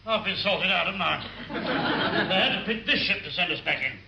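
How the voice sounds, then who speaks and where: An actor playing a pompous, grumpy, ambassador, blustering and scornful in a classic radio sitcom. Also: male voice, sits high, expressive, a little fast, creaky, highs cut off. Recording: very noisy old radio recording.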